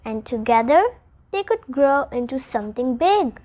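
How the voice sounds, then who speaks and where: A child reads a children's picture book aloud, deliberately, phrase by phrase. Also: high voice, expressive, a little mellow. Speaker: storybook reader.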